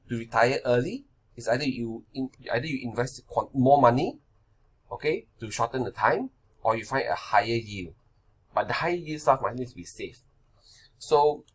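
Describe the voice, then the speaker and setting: A man's voice: mid-range voice, husky. A man of forty giving a casual slide-based lesson on investing, talking loosely and checking in with "right?" and "okay?". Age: 40s. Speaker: finance presenter.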